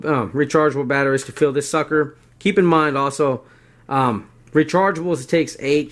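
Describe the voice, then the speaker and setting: A man talking casually and unscripted as he demonstrates gear and gives advice, often checking in with "right?" and "you know". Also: mid-range voice. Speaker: video host demonstrating radio gear.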